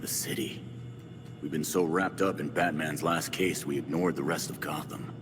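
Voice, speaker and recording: A man's voice: a bass voice, steady tone, moderate pace. A man playing a hot-headed, blunt young vigilante hero in a video game cutscene. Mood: brooding. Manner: blunt, intense. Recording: long reverb, music behind.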